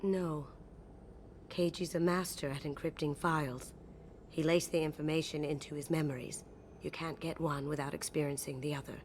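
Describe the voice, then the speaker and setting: Game role: female actor as sentimental lady thief, low pitch, wistful, over noisy ambience. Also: fairly even intonation, normal speed, natural voice. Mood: resigned. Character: sly, sentimental female master thief, grieving her lover. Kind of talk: dramatic video game acting. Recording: loud game ambience behind voice.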